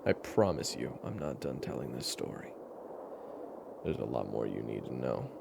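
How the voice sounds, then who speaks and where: A man narrating a dramatic roleplay story in a hushed, confiding way. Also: extremely low pitch, big pitch swings, normal speed, creaky, very noisy.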